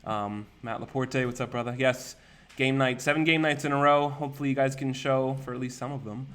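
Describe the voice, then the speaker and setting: A man's voice: mid-range voice, steady tone, brisk, a bit bright, clean tone. A young man hosting a live stream, casually reading out viewer comments and names. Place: indoor room with a virtual city backdrop.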